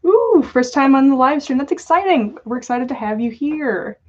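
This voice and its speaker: A woman's voice: sits high, expressive, moderate pace, a little mellow. A woman hosting a casual livestream, chatting and joking playfully with her viewers. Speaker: podcast host running a livestream. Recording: dull, lo-fi livestream audio.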